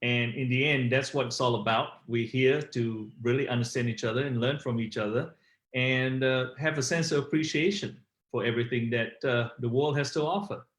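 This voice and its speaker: A man's voice: medium pitch, steady tone, normal speed. A middle-aged man, telling his personal story conversationally as an interview guest. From Malaysia. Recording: roomy, echoey online call.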